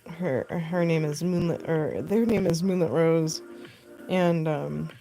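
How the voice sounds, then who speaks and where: Young woman streamer, deep gravelly voice, mellow tone; casual rambling chat into a phone mic while working. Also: steady tone, takes it slowly, soft background music, rough recording. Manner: rambling, filler-heavy, laughs often. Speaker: costume artist streaming live.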